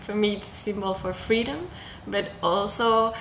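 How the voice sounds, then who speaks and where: A young woman from Belgium talks casually about her circus work, pausing often on ums and restarting her sentences. Her voice sits at a middling pitch. The room is echoey, with clear background noise behind her. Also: fairly bright tone. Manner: hesitant, many ums and restarts. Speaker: circus artist. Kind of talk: casual interview talk.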